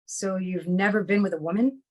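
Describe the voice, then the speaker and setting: Casting: actress as middle-aged prostitute, eager to please, polite, tentative, medium-pitched female voice. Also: a little fast, darkish tone, natural voice, rough recording. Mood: curious, apologetic. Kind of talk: screenplay table read.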